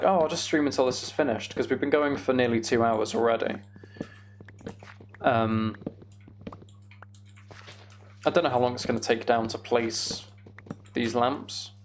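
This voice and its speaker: Casual male game streamer with creaky voice. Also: mid-range voice, a little hiss or hum. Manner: thinking aloud, rambling.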